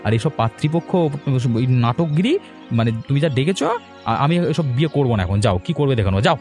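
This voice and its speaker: A man's voice: medium pitch, very animated, brisk, darkish tone, faint music behind. A man playing a young, quarrelsome and resentful village son in a cartoon moral story, with a pushy, argumentative manner. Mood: rude, threatening.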